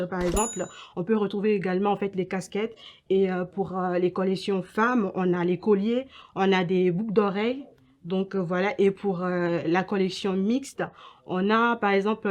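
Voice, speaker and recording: A young woman from Ghana and Togo giving halting interview answers as an entrepreneur, often repeating her words. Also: mid-range voice, a little mellow. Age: young adult. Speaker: entrepreneur and student being interviewed. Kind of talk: interview answers about own business.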